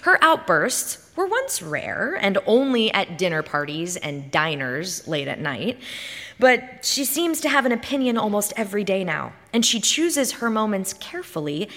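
A woman giving a dramatic, expressive reading of literary fiction, like a performance on stage. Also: medium pitch, normal speed. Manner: theatrical, expressive delivery. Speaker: short story reader on stage. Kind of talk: dramatic scripted literary narration. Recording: reverberant hall.

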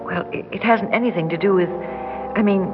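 An American actress playing an earnest, guilt-ridden woman with an anxious, halting, pleading manner in 1960s radio drama. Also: mid-range voice, lightly acted. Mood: halting, apologetic. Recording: dull 1960s radio recording, music behind.

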